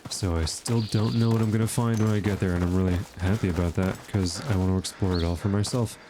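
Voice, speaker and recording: A man with a lowish voice talks through a game in loose, rambling commentary, pausing on fillers as he thinks out loud. His nose sounds stuffed up and nasal. Steady game noise and ambience run clearly under his voice. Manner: chatty, thinking aloud with fillers. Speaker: gaming channel host. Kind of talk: casual gameplay commentary.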